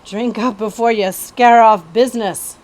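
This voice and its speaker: A woman's voice: fairly high pitch, fairly even intonation, a little slow, darkish tone, close to an everyday voice. A woman voicing a stout old milk seller in a storybook, blunt, gossipy and exclamatory. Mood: blunt, joking. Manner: calling out wares, exclamatory.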